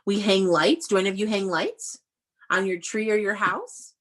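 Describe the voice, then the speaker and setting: A woman teaching young children through a read-aloud, explaining in an animated way and often putting questions to them. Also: mid-range voice, moderate pace. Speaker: elementary school teacher.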